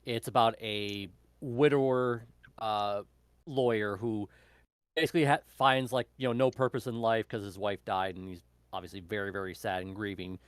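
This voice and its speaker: Man chatting haltingly, very dry sound. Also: on the low side, steady tone, clean tone, dry recording. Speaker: book club member. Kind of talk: casual chat, recommending books.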